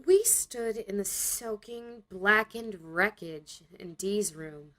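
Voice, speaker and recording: A woman's voice: mid-range voice, slow, fairly bright tone. A woman playing an earnest, self-doubting young woman narrating her story in a motion comic. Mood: somber.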